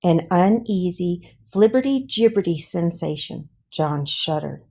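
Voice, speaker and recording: Woman, children's audiobook reader, lowish pitch, very unhurried pace, expressive and deliberate storytelling. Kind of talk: expressive read-aloud storytelling of a children's book.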